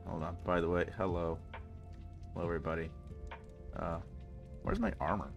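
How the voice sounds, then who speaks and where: A man's voice: low-pitched, moderate pace, soft background music. A man with a stuffy nose chatting casually and rambling jokily with his chat while streaming a game. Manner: rambling, jokey, talks to chat.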